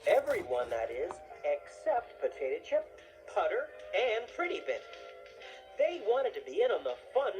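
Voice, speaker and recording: A woman reading a scripted children's story as narrator, in an expressive storytelling manner. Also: medium pitch, low-quality recording.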